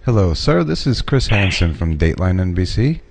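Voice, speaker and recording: A man's voice: deep voice, sing-song, normal speed, creaky. A middle-aged man speaking in a stern, confrontational, officious way, like a reporter questioning someone on a prank call, into a studio mic. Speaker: prank caller posing as a TV reporter. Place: small home studio room. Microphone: studio microphone on a boom arm, headphones.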